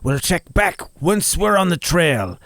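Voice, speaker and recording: A man's voice: sits high, sing-song, creaky, fairly bright tone, no room sound, studio quality, voice pushed up, heavily acted. Man doing a theatrical lady monster-hunt presenter. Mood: dramatic. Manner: theatrical, drawn-out delivery. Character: showy woman video host narrating a monster hunt. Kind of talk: mock-documentary presenting. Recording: music and chirping night insects behind.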